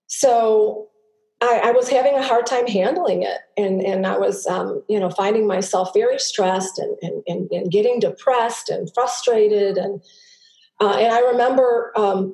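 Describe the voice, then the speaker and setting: A middle-aged woman, a native Greek speaker, telling her personal story as a podcast guest in an earnest, halting way with many restarts. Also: mid-range voice, darkish tone. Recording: roomy echo.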